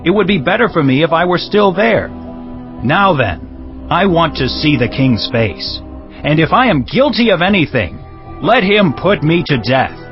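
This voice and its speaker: A man's voice: on the high side, sing-song, normal speed. A man playing an ambitious, scheming young prince in an audio Bible drama, declaiming insistently. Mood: indignant, demanding. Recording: dull lo-fi audio with background music.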